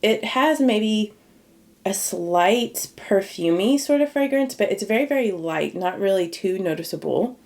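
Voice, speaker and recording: A woman's voice: mid-range voice, expressive, a little slow, a little mellow. A woman chatting casually through a makeup review for her viewers, sounding a little nasal and congested from a cold, with a slightly scratchy throat. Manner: chatty, rambling, talks directly to viewers. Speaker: beauty YouTuber.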